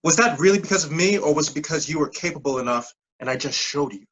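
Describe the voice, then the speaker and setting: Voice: mid-range voice, lively intonation, quick pace, fairly bright tone, lo-fi sound, slight background noise, natural voice. An actor in a staged play reading, playing a persuasive, evasive pyramid-scheme recruiter who insists in clipped phrases. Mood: persuasive, intense. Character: smooth-talking, pushy pyramid-scheme recruiter mentoring a junior coworker.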